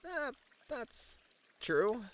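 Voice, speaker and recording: A man's voice: very high-pitched, very animated, very slow, exaggerated voice. A man playing a friendly, slightly flustered gaming tournament manager in an ASMR roleplay, chatting casually with some hesitation. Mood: animated, candid. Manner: casual, chatty, hesitant filler-laden banter.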